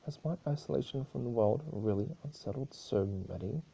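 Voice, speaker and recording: A man's voice: mid-range voice, brisk, creaky, warm tone, slightly rough, voice pushed up, poor audio. A man voicing a stern, weary female pony princess with a regal, exasperated manner, in a story reading. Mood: troubled.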